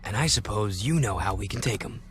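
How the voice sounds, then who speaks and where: A man's voice: on the low side, animated, a little fast, background noise, natural voice. A man playing a young, determined action hero in a video game, terse and earnest. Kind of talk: video game dramatic acting.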